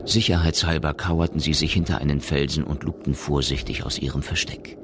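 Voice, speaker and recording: Very deep-voiced male radio drama narrator. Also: fairly even intonation, fairly quick, background noise.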